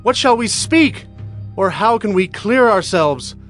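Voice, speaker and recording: A man's voice: sits high, animated, unhurried, fairly bright tone, faint music behind. A man voicing Judah, a humble brother earnestly pleading before a ruler, in a dramatized scripture reading.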